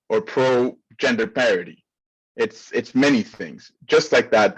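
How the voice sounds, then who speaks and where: A man's voice: fairly low pitch, slightly rough. A man speaking on a panel, arguing firmly and persuasively. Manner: firm, persuasive. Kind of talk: panel discussion. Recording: dull, lo-fi audio.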